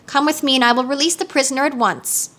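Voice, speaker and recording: A vain, self-absorbed storybook princess , acted out by a woman. She is imperious and dramatic, with a haughty temper and a high, sharp voice. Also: fairly even intonation, fast talker. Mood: breezy.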